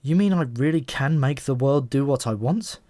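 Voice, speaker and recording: A man's voice: medium pitch, expressive, normal speed, dark tone, dry recording, lightly acted. Man acting a storybook everyman, irritable, insecure, easily flustered, incredulous, dry studio sound. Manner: testy, incredulous, questioning. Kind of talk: audiobook character voice.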